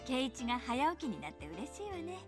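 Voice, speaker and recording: High, quick, cheerful mother. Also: big pitch swings, music in the background. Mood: cheerful, caring. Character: cheerful doting mother of a schoolboy. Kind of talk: Japanese visual novel voice acting.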